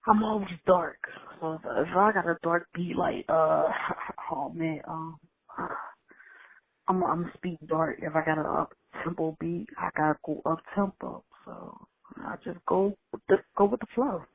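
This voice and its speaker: A woman from Philadelphia with a Philadelphia accent, guesting on a podcast as a rapper. She answers in a relaxed, halting way and restarts often. A faint hiss sits behind her voice. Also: fairly low pitch, vocal fry, low-quality recording. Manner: laid-back, halting, plain-spoken. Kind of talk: casual interview.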